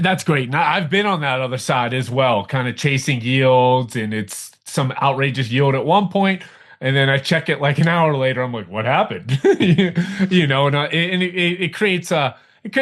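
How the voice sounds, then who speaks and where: Man, podcast host, casual chatty questions, mid-pitched voice. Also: a bit bright. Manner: casual, chatty, with restarts and laughs. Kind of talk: podcast interview.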